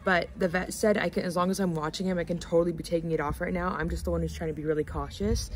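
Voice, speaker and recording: A young woman talks straight to a handheld camera in a loose, rambling way, full of "like" fillers. Her voice sits a little low and sounds slightly raspy and stuffy. A faint background hum stays under her talk. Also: fairly even intonation, fast. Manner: rambling, lots of "like" fillers, chatty. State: stuffy nose, a bit hoarse. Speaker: lifestyle vlogger. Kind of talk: casual rambling vlog chat. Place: home kitchen indoors, then back patio outdoors. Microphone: camera or phone at arm's length.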